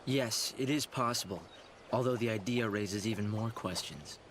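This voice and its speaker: An actor playing an earnest, thoughtful young male scientist in a video game cutscene, explaining in a measured way. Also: fairly low pitch, a bit bright, slight background noise, lightly acted. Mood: thoughtful, resolute. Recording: background music under the voice.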